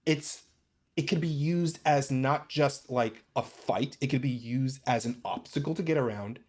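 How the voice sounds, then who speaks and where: An excitable, emphatic speaker in an informal, animated discussion about tabletop gaming. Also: medium pitch, a little slow. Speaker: podcast panelist.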